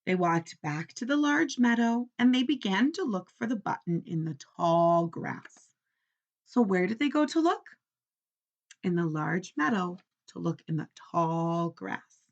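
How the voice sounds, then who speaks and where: A woman teacher reading a children's story aloud in an expressive, instructional way, often stopping to ask questions. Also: lowish voice, measured pace, highs cut off.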